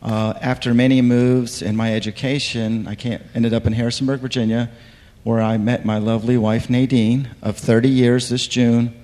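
A man's voice: medium pitch, little pitch movement, normal speed, mellow. A man in his fifties, born in Turkey, introducing himself on a church panel in a good-humored way. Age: 50s. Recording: hall reverb.

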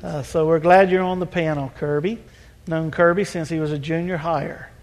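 A man leading a church service and hosting a panel, talking in a relaxed, folksy way with a chuckle. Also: on the high side, moderate pace. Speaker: pastor. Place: church sanctuary. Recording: hall reverb.

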